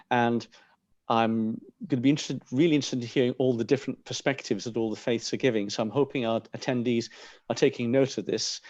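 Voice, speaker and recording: A man's voice: medium pitch, a little mellow, dry recording. A man chairs an online webinar over a video call, polite and chatty as he keeps time and hands over to the next speaker. The call audio is dull and lo-fi, and very dry with no room sound. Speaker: webinar moderator.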